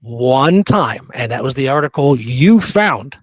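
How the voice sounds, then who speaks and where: A man's voice: medium pitch, lively intonation, unhurried, slightly rough, dry recording. A man hosting a history podcast, talking casually and emphatically and often checking for agreement. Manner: informal, emphatic, seeks agreement with "right?".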